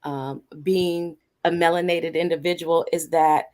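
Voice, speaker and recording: A woman speaking as a guest on an online panel, sharing in a heartfelt, measured way. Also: on the low side, fairly even intonation, darkish tone. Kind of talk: personal reflection on a panel.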